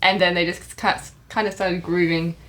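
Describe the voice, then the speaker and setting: A young woman co-hosting a podcast, talking in a warm, effusive way with lots of hesitations. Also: lowish voice, flat delivery, normal speed, low-quality recording. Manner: effusive, hesitant with many ums. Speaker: podcast co-host. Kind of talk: casual review chat.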